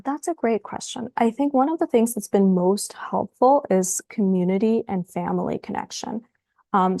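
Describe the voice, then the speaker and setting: Creaky-voiced woman, podcast guest. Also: mid-range voice, mellow, no room sound, studio quality. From Ukraine. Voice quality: thoughtful, repeats words as she starts sentences. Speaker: guest psychologist. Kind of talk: podcast interview.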